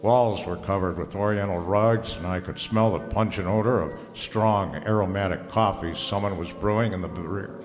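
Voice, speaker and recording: Man playing a low-voiced hardboiled private eye. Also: fairly even intonation, normal speed, lightly acted. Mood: narrating, wry. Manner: dry, laconic, slangy. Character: wry, hardboiled male private detective narrator. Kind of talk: old-time radio noir acting and narration. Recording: reverberant hall, music underneath.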